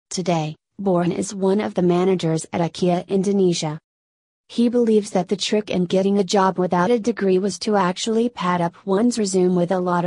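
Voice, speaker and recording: A woman reading a news feature aloud in an even, steady delivery. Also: on the low side, dry recording. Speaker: news reader.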